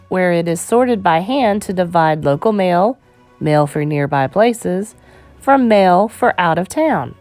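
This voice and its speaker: Woman reading aloud with lively intonation. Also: mid-range voice, no room sound. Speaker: children's book reader. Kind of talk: read-aloud narration of an educational picture book.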